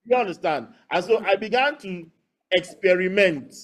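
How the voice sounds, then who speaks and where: A man with a Nigerian accent telling his story in an interview as a loudspeaker builder, emphatic and punctuating his points with rhetorical questions. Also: sits high, lively intonation, normal speed. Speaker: loudspeaker builder and audio engineer, guest.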